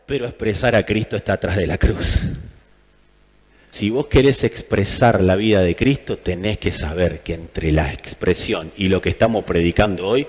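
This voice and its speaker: A man with an Argentine accent preaching a sermon in an emphatic manner, repeating phrases for effect. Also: mid-range voice. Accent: Argentine Spanish. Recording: long hall reverb.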